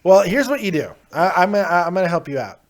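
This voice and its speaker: A man hosting a call-in show, joking crudely and teasing his callers with loud bursts of laughter. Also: sits high, very animated, quick pace.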